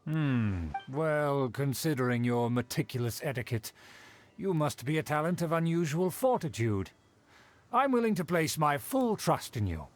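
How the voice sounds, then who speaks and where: Haughty game aristocrat, grandiose formal phrasing, condescending, very animated pitch, slightly high voice. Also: male voice, a little slow. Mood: pleased, formal. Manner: formal, grandiose, condescending, flustered when slipping. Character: pompous, haughty aristocrat of a faded noble clan. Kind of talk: video game voice acting.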